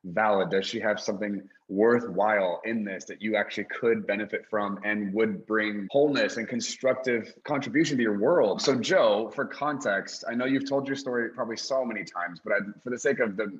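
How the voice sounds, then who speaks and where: A man's voice: fairly low pitch, highs cut off. A man hosting a podcast, enthusiastic and rambling as he sets up questions for his guest. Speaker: podcast host. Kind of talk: podcast interview.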